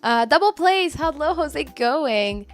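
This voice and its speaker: A woman's voice: sits high, animated, measured pace, faint music behind. A woman in her early twenties chatting casually as a game streamer, reading out and answering viewer messages. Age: early 20s. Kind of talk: casual livestream chat.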